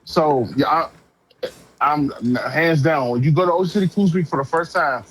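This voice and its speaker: A man's voice: on the high side, moderate pace, a bit bright. A talkative man chatting casually on the phone in slangy speech, often repeating himself as he goes. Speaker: prospective renter, self-taught auto body painter. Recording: noisy phone call.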